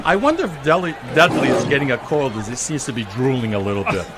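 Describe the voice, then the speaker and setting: A man's voice: mid-range voice, very animated, quick pace. A man giving joking, mock-serious live radio commentary as host of an outdoor parade broadcast. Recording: noisy outdoor street broadcast.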